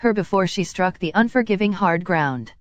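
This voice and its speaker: A woman's voice: lowish voice, lively intonation, moderate pace, no echo, dull audio. A woman narrating a piece of fan fiction as a scripted audiobook-style reading.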